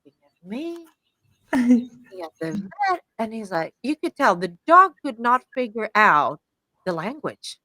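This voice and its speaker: A woman's voice: on the high side, a little slow, no echo. A woman from Sweden hosting a podcast, chatting casually and telling stories with acted-out quotes. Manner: animated storyteller, acting out quoted lines. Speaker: podcast host.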